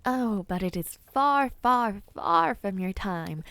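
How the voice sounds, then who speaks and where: Lady doing a sweet whimsical witch for audio roleplay, gentle and chatty, takes her time, voice goes up and down a lot. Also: medium pitch, expressive, takes it slowly, no echo. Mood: wistful, tender. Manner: gentle, theatrical, chatty, with airy hums and small laughs. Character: kindly, whimsical, ancient witch, flustered caring hostess. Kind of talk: immersive audio roleplay, addressed to the listener.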